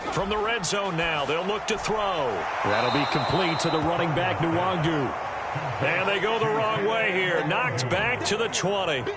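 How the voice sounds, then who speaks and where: A man's voice: high-pitched, unhurried, very noisy. A man playing a composed football play-by-play announcer in a video game, speaking in clipped broadcast phrases. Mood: composed, brisk. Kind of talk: play-by-play sports commentary. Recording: music behind the voice.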